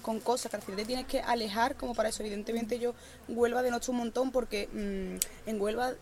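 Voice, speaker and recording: Fast-talking Andalusian woman, passionate. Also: fairly high pitch, steady tone, a little mellow, slight background noise. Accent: Andalusian Spanish. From Andalusia, Spain. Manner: passionate, restarts often. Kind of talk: casual group conversation.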